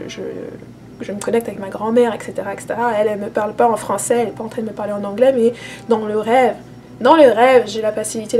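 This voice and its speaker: A woman's voice: fairly high pitch, a little mellow. A woman speaking earnestly and reassuringly in a casual monologue, often repeating and restarting her words. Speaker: spiritual vlogger. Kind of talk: casual spoken monologue to camera.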